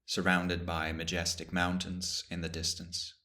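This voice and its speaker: Man, very deep voice, guided meditation teacher, slow calm phrasing, long pauses.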